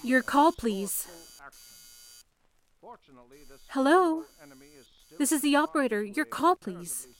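A woman playing a polite, anxious young telephone operator in an old-fashioned radio drama, with courteous, soft-spoken reassurance. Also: high voice, very animated, unhurried. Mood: polite, businesslike. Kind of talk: 1940s radio drama acting.

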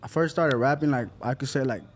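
A man's voice: medium pitch, fast talker. An eighteen-year-old man who raps, chatting casually in slang with lots of fillers. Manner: laid-back street slang, lots of fillers. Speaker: rapper. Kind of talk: casual interview chat.

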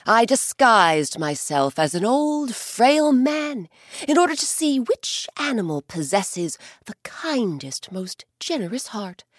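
A woman's voice: a little high, big pitch swings, slow, no echo, studio quality, voice pushed up, exaggerated voice. Ukko, a woman voicing a grand sky god. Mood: solemn. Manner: solemn, proclaiming. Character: powerful male sky god, grand and benevolent.

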